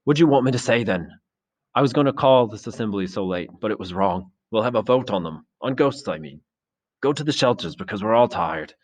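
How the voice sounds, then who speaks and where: A man's voice: fairly low pitch, expressive, a little fast, voice pushed up. A man voicing a boy leader in a storybook, insistent and exasperated as he tries to keep order while unsure of himself. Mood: weary, insistent. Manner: insistent, exasperated, plain-spoken.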